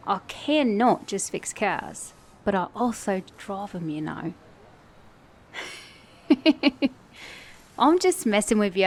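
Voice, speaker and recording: A woman acts out a flirty female car mechanic in a romantic ASMR roleplay. Her accent blends Australian and British, and her voice sits at a middle pitch. She teases playfully, with a sassy, affectionate confidence. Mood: teasing, affectionate. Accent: Australian-British mix. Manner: flirty, teasing, playful, with soft chuckles.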